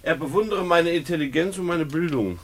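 A man's voice: fairly high pitch, fairly quick, a little hiss or hum, lightly acted. An actor playing a middle-aged schoolteacher husband in a radio play, ironic and patronizingly patient with his wife.

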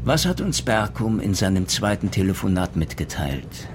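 Low-voiced, probing old-school detective. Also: male voice, very animated, music in the background. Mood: probing, methodical. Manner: deliberate rhetorical questioning, step-by-step deduction. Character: retired male police detective, methodical, probing, theatrically sure of himself. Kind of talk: radio play crime drama acting.

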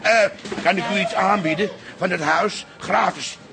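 A man's voice: high-pitched, a little slow, vocal fry, noisy background. A man acting in a radio drama as a muddled, eager-to-please host, stammering and repetitive. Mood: obliging.

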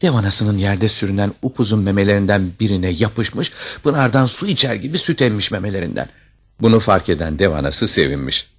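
A man narrating a fairy tale as a storyteller, with dramatic scripted delivery and a deep, husky voice. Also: lively intonation, brisk, fairly bright tone.

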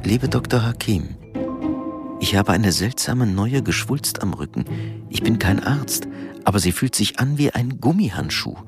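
An anxious, evasive middle-aged businessman in a radio drama. His voice is fairly low and moves widely in pitch, hesitant and apologetic, with music behind it. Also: animated, a little slow. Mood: earnest, wry. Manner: hesitant, short evasive replies.

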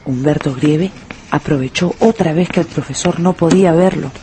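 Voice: medium pitch, moderate pace, background noise, natural voice. A narrator reading a scripted, dramatized story for a radio drama.

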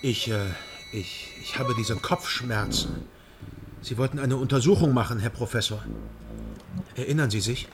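An actor playing a cautious, sympathetic East German investigator in a radio drama, speaking in a guarded, hesitant way. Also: male voice, medium pitch, normal speed, lightly acted. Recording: loud machinery noise behind.

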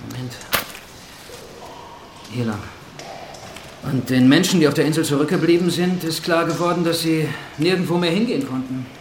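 Actor as earnest island guide, echoey. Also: male voice, fairly high pitch, normal speed, pauses often, close to an everyday voice. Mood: grave, explanatory. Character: earnest male islander guiding survivors through tunnels. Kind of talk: German radio drama acting. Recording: echoey space, clear background noise.

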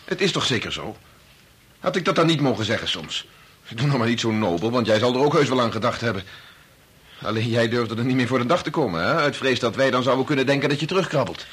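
An actor playing a touchy, argumentative married father in a Dutch radio play, blunt and sarcastic, with a very husky voice. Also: mid-range voice, expressive, fast talker, fairly bright tone. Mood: indignant.

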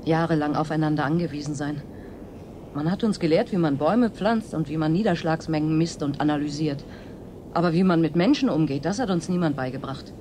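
A woman playing a weary, sharp-tongued yet wistful researcher in a German radio drama. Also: low-pitched, fairly quick, lightly acted. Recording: clear ambient noise behind.

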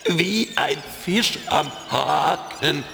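An actor playing a smug, menacing computer virus henchman in a children's audio drama, gravelly, in a hall-like reverb. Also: fairly high pitch, expressive, drawn-out words, music in the background, exaggerated voice. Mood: smugly menacing.

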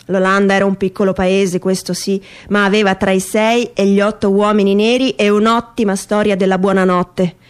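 A woman reads a comic literary monologue for radio theatre. Her voice sits at a middle pitch, and she tells the story with expressive, ironic delivery. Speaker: performer reading a literary text.

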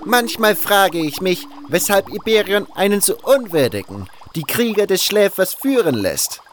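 An actor playing a haughty, fanatical cult guru and alchemist in an audio drama, hissing and drawing out words with contempt. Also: male voice, high-pitched, sing-song, a little slow, fairly bright tone, exaggerated voice. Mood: bitter, scornful.

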